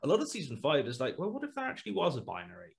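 A man's voice: a little high, huge pitch swings, rapid-fire, vocal fry. A man in his thirties, the creator of a podcast, chatting on a video call through a headset, rambling and restarting his sentences. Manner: rambling, restarts often, trails off into asides. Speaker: podcast creator and writer as guest. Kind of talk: casual video-call interview. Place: small room at home, video call.

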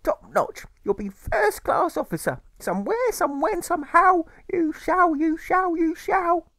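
A man's voice: extremely high pitch, lively intonation, measured pace, a bit bright, no echo, clean studio sound, voice pushed up, full character voice. A man voicing a fretful, doting old mother in an audiobook, theatrical and repeating phrases insistently. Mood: gushing, proud. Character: fretful, doting, nagging working-class old English mother. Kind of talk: audiobook character voice.

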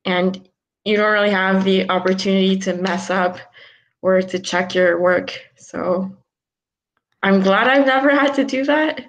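A woman in her twenties speaks as a guest over a video call, her voice picked up by a laptop mic in a small room at home. She talks slowly and takes her time. Frequent ums and gentle restarts run through her explanations. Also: mid-range voice, rough recording. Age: 20s. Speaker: guest, philosopher. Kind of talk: casual video-call interview.

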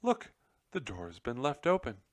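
A man voicing an earnest, stern gentleman mouse in a children's storybook, speaking emphatically and with reproach. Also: medium pitch, wildly swooping pitch, a little slow, no echo, studio quality, voice pushed up. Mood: alarmed.

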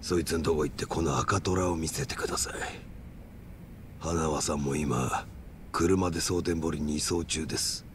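A man's voice: a bass voice, normal speed, noisy background. A man playing a calculating, menacing yakuza in a video game, with a Kansai accent and polite speech that carries blunt threats. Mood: challenging. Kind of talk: dramatic video game cutscene acting.

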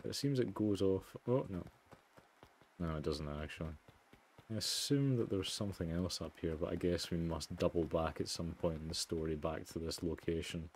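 A man doing low-key let's play commentary, reading story text aloud and muttering asides. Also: moderate pace, darkish tone, a little hiss or hum. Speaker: gamer, let's player. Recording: music behind the voice. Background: game music.

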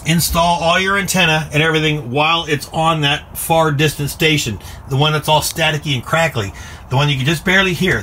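Middle-aged American male DIY vlogger, bright forceful voice pitched a bit high, folksy explaining, noisy phone recording. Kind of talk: casual explanatory how-to talk. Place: inside a small aluminum cargo or horse trailer. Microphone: phone at arm's length. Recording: noisy small metal trailer interior.

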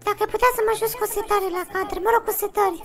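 A man using a voice changer to play a sweet, pleading young woman who keeps apologising in an artificially high voice. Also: flat delivery, fairly bright tone. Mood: polite, apologetic. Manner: apologetic, coaxing. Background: background music.

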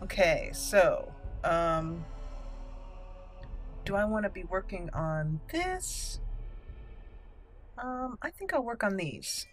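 A woman's voice: medium pitch, expressive, takes it slowly, pauses often, faint music behind. A woman hosting a gaming channel, giving casual, playful let's play commentary and talking to the characters. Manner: playful, talks to game characters.

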